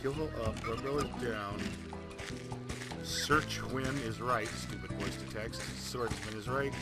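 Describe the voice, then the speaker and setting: A man's voice: mid-range voice, slow. A man giving casual gameplay commentary in muttered, sardonic asides. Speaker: gamer, commentator. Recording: loud game music behind. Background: loud game music.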